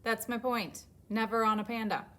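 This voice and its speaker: A woman voicing an exasperated, practical panda in a children's picture book, bossy and flatly contradicting. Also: a little high, moderate pace, natural voice.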